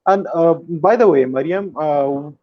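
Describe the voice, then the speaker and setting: A man's voice: fairly high pitch, measured pace. A man hosting a casual interview, asking questions hesitantly with many fillers and restarts. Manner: hesitant, filler-heavy, frequent "hmm". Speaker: interview host. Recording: dull lo-fi audio.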